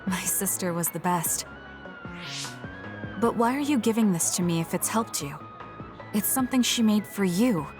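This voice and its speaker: A woman's voice: mid-range voice, animated, normal speed, long pauses, lightly acted. A woman playing a young, guarded female soldier in a video game, speaking in a blunt, matter-of-fact way. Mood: guarded, curious. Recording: studio voice under loud game music.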